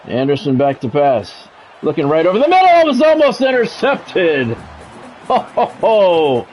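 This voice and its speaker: Higher-pitched man calling football play-by-play. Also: measured pace, soft background music, rough recording. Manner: announcer-like, short clipped phrases, excited calls on big plays. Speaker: video game streamer doing play-by-play. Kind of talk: live sports play-by-play commentary of a football video game.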